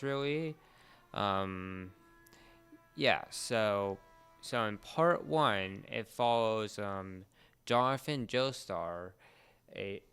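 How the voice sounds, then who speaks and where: A young man hosting a podcast in a casual, halting way, often repeating his words. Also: lowish voice, fairly bright tone, clear, resonant tone. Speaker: podcast host. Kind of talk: casual podcast talk introducing a series.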